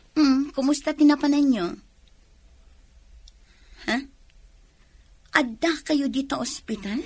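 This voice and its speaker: A woman's voice: sits high, no echo. A woman acting an anxious, emotional female police officer in an Ilocano radio drama.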